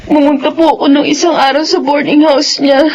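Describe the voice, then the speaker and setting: A woman's voice: high-pitched, flat delivery, fairly quick, darkish tone, lo-fi sound, a little hiss or hum, close to an everyday voice. A young woman with a Filipino accent playing an anxious, pleading pregnant student daughter in a melodramatic drama. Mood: tearful, confessing. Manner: plaintive, pleading. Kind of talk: melodramatic radio-style drama acting in Tagalog.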